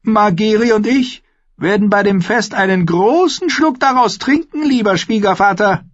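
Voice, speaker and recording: A man's voice: sits high, normal speed, no room sound, muffled recording, voice pushed up. A man voicing a gracious young noblewoman in a fantasy audiobook, polite and courtly. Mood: courteous. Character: gracious young noblewoman addressing her in-laws. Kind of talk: fantasy audiobook character acting.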